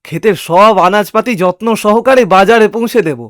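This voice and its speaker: A man playing a poor, honest, simple-hearted cart puller in an animated moral story, speaking in an earnest, plaintive, folksy way. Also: high voice, fairly quick, dry recording, studio quality. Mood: pleading.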